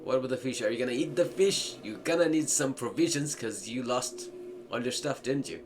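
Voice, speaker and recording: A man's voice: medium pitch, brisk, noisy background. A man giving casual playthrough commentary, chatty and reacting aloud to a story with questions. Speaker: gaming content creator playing a visual novel. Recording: music playing behind the voice. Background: game music.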